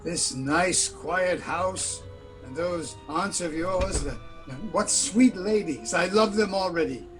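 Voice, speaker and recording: Radio drama sidekick male actor: weary, timid crook of a doctor, hesitant, slightly high-pitched voice. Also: slow, music in the background. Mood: relieved, dreamy. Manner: hesitant, stammering, pleading. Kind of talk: old-time radio play acting.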